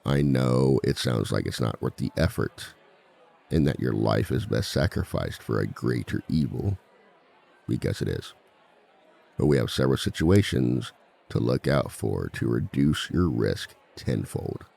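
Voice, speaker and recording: Suave male villain, played by a man for audio roleplay: bottomless pitch, thick vocal fry, measured lecturer's delivery with dry wit. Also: lively intonation, darkish tone, heavily acted. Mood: wry, cautioning. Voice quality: very deep, gravelly. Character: suave male villain giving a dating seminar to fellow villains. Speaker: seminar speaker and relationship expert. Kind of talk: scripted ASMR roleplay monologue, seminar lecture.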